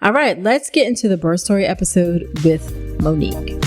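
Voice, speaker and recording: A woman's voice: lowish voice, lively intonation, moderate pace, loud music behind the voice. A woman hosting a pregnancy and birth podcast, introducing a guest and interviewing her in an encouraging, affirming way. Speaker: podcast host, obstetrician.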